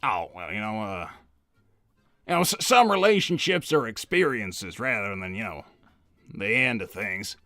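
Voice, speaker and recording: A man's voice: on the low side, big pitch swings, a little slow, fairly bright tone, no echo, clean studio sound, faint music behind. A man voicing a genial, graying cartoon hedgehog who rambles hesitantly and apologizes as he is interviewed. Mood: rambling, explaining. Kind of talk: improvised in-character interview.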